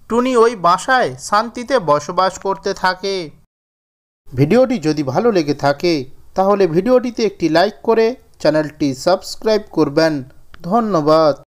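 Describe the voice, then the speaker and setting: A man's voice: high voice, fairly bright tone. A man narrating a scripted children's story as the storyteller.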